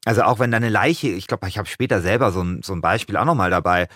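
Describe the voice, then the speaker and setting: A man's voice: fairly low pitch, fast talker, a bit bright, dry recording, clean studio sound. A man co-hosting a podcast, chatting casually and analytically in an enthusiastic, wordy way. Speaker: podcast co-host.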